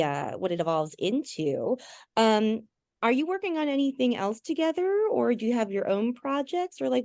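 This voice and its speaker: A woman hosting a podcast interview, chatting informally and haltingly, with lots of filler words like "like". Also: on the high side, a little mellow, no room sound, studio quality. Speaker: podcast host. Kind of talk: casual interview chat.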